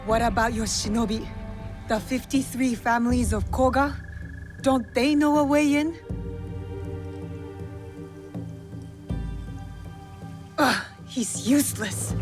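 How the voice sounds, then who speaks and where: Woman playing a resolute, high-voiced young shinobi. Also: lightly acted. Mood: impatient. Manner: blunt, resolute, urgent. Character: determined young female shinobi from feudal Iga. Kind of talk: video game cutscene acting. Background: music.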